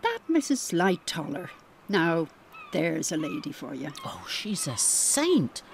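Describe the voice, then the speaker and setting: A woman's voice: lowish voice, wildly swooping pitch, takes it slowly, gravelly, slight background noise, full character voice. Radio drama: chatty working-class 1912 woman, colloquial and gossipy, animal ambience behind. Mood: gossipy, admiring.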